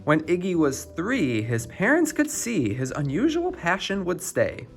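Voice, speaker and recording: A man narrates a rhyming picture book for children, expressive and theatrical. His pitch swings widely and he takes his time, with faint music underneath. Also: measured pace, gravelly. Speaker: children's storybook reader.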